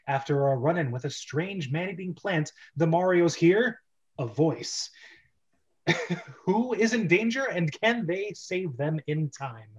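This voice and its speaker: Dull-sounding, higher-pitched male show host. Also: animated, slow pace. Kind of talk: scripted show introduction and casual chat.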